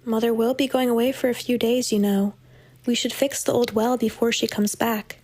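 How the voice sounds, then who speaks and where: A woman's voice: on the high side, little pitch movement, normal speed, darkish tone, lightly acted. An actress playing a sly, unbothered teenage witch with a morbid curiosity, teasing in formal period audio drama style. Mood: eager, brisk.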